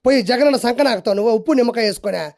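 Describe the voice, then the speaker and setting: A man delivering a mocking political rant in a scornful, insulting, forceful manner. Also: very high-pitched, rapid-fire, creaky, noisy background.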